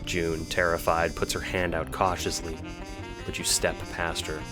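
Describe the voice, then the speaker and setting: A man with a low voice narrates an audio drama in a dramatic, scripted storytelling style. Music plays clearly behind him. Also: level tone, measured pace.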